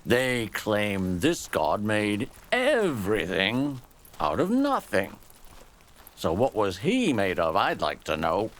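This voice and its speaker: A gruff old soldier, acted by a male storyteller, full of scorn and vehemence. He speaks slowly and low, leaning on key words with contempt, and his pitch swings widely as he makes his case. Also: wildly swooping pitch, full character voice. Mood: vehement contempt. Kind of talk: storybook character dialogue.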